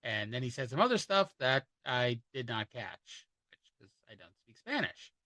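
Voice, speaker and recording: Male podcast host, casual wry recap with profanity, bright tone, dry sound. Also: mid-range voice, big pitch swings, moderate pace, no room sound. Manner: wry, profane, rambling asides.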